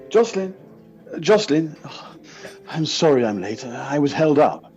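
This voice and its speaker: A man in an audio play acting a devoted, teasing companion with a droll, expressive delivery. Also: on the high side, normal speed. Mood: warm, teasing. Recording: dull personal-device recording, music behind.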